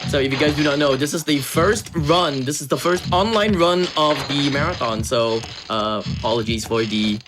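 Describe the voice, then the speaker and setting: A man's voice: on the high side, expressive, normal speed, hardly any pauses. A man giving chatty, giggly live commentary on a speedrun, with lots of fillers. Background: game music.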